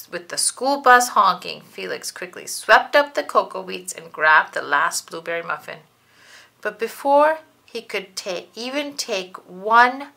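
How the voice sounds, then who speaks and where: A woman reading a children's story aloud in an expressive, dramatic and deliberate narration style. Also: mid-range voice, creaky. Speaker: storybook reader.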